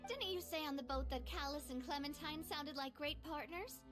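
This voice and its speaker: Bright-spirited young lady boss, high voice. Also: normal speed, faint music behind. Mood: eager, commanding. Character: cheerful, self-assured young woman leading a town organization. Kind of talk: video game voice acting.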